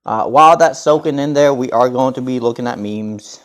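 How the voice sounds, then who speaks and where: A man's voice: medium pitch, moderate pace. A young man who grew up speaking Russian talks casually while cooking, rambling and restarting his sentences. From grew up speaking Russian, learned English at eight. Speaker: vlogger cooking on stream.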